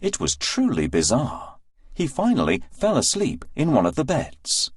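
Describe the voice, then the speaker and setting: A man narrating a scripted fairy tale for children with an expressive, deliberate storytelling delivery. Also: mid-range voice, slight background noise.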